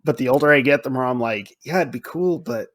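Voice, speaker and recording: A middle-aged man hosting a podcast, chatting casually and emphatically about films and games, with animated delivery and free swearing. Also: mid-range voice, brisk. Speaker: podcast host. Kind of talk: casual film and game chat.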